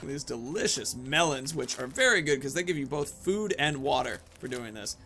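A man's voice: medium pitch, expressive, normal speed, sharp tone. A man in his thirties giving casual, playful gameplay commentary, rambling and talking to himself as he plays. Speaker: gaming video host.

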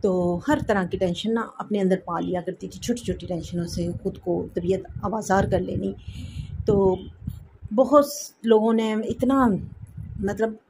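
A woman's voice: medium pitch, measured pace, slight background noise, poor audio. A woman in her thirties with a sore throat, hosting a home cooking vlog and chatting to viewers about life and faith. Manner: warm religious blessings, chatty reflective. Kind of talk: casual advice chat to viewers. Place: home kitchen, indoors.

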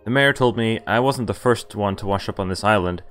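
Young guy with a lowish voice reading out a visual novel on stream, bit of casual commentary, music behind. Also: brisk, a bit bright. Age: young adult. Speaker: game streamer. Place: indoor room, webcam stream. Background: game music.

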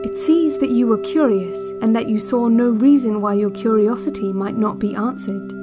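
An actress playing a calm, eerily watchful guide on a relaxation cassette, speaking in measured, soothing, deliberate instructions. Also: female voice, a little high, music in the background, natural voice. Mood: quietly ominous. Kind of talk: slow hypnotic relaxation-tape narration in a fiction podcast.

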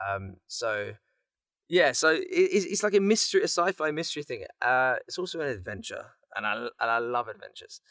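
A man's voice: medium pitch, big pitch swings, brisk, a bit bright, no echo, clean studio sound. A man chatting casually and effusively as a podcast guest, rambling as he explains his work. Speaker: guest voice actor and writer. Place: small sound booth.

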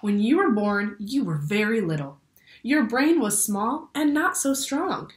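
A woman's voice: a little high, expressive, a little slow. A young woman reading a children's picture book aloud like a teacher, expressively, asking the listeners questions. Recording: roomy indoor echo.

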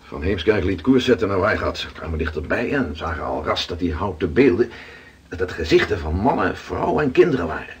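Very husky old-time seafarer spinning tales. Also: male voice, low voice, very animated, full character voice. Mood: awed, reflective. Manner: slow, dramatic storytelling. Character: weathered Dutch seafarer Gerrit, awed storyteller, around 1600. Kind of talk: radio drama acting.

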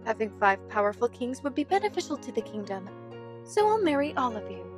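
A woman's voice: fairly high pitch, big pitch swings, fairly quick, over loud music. A woman voicing a poised, regal young female cat bride in a cartoon comic dub, formal and composed.

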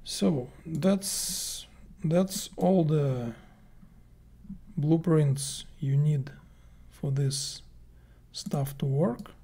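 Slow breathy man walking through a tutorial. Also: a little high, mellow. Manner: slow, halting, thinking aloud with many fillers. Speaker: game plugin developer. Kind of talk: screen-recorded software tutorial.

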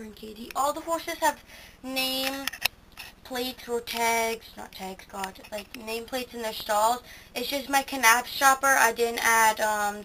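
Slow, hesitant teenage girl giving a toy barn tour. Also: fairly high pitch, background noise. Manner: slow, hesitant, lots of ums and self-corrections. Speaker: toy horse barn video maker. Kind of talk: casual show-and-tell tour. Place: indoors at a homemade model stable. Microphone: handheld camera close to the toys.

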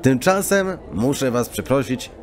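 A man playing a sly, composed hooded card sharp in an audio drama, mock-courteous with quirky archaic phrasing. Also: medium pitch, very animated, unhurried. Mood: calm, sly. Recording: tavern crowd murmur behind.

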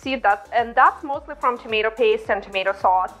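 A woman's voice: on the high side, a little fast, fairly bright tone, soft background music. A woman, hosting a cooking tutorial in her home kitchen, chatty and bubbly on a lapel mic. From Ukraine. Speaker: cooking channel host. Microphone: clip-on lapel mic.

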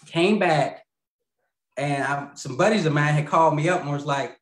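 Male guest, 30s, rambling storyteller, lots of fillers, slightly high voice, echoey video-call audio. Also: moderate pace. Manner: rambling, laughs mid-sentence, many fillers and restarts. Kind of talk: casual storytelling. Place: home room.

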